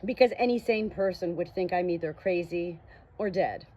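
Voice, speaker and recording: A woman's voice: lowish voice, normal speed, dark tone, close to an everyday voice. A woman voicing an awkward, self-conscious teenage ghost girl in an audiobook, with hesitant, wry delivery. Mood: wry, nervous.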